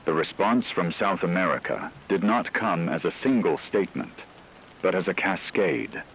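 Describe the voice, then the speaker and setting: A man playing a grave radio news anchor, reading a scripted emergency broadcast in a measured, solemn, deliberate way, over a noisy recording. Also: deep voice, animated, vocal fry, fairly bright tone, heavily acted.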